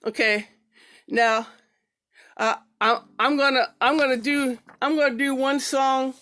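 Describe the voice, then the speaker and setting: A woman's voice: sits high, steady tone, fairly bright tone, no echo, studio quality. A woman giving an informal amateur poetry recital, reading and introducing pieces in her own way. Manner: halting, repeats words and syllables when speaking off the cuff. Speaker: amateur poetry reader and singer.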